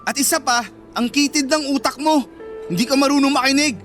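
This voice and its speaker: A very high-voiced, fed-up teenage boyfriend in a Filipino radio drama. He argues emphatically and scolds, exasperated yet protective, with faint music underneath. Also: steady tone, brisk.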